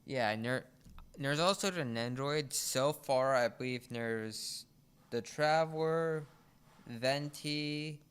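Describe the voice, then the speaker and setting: A teenage boy hosting a podcast in casual chat, speaking haltingly with frequent restarts. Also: medium pitch, a bit bright, smooth. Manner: halting, restarts words often. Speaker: podcast host.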